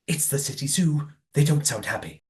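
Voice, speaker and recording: An actor in an audio play voicing a prim, excitable, sweetly naive old hotel keeper with genteel, old-fashioned exclamations. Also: mid-range voice, normal speed, lightly acted. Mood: polite, flustered.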